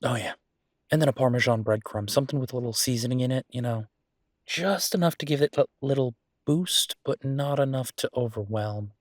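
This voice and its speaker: A man playing an affectionate, playfully grumbling young werewolf chef in an intimate, casual boyfriend roleplay audio, chatty and halting. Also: medium pitch, dry recording, studio quality, close to an everyday voice. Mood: fond, enthusiastic.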